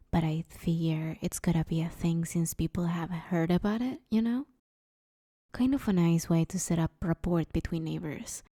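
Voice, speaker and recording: Woman acting a mellow, gravelly awkward villain neighbor. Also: fairly low pitch, dry recording, studio quality. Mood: rambling, awkward. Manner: rambling, hesitant, sheepishly pleading, casual. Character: awkward, broke, friendly female supervillain neighbor asking favors. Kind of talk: roleplay audio drama, casual conversational monologue.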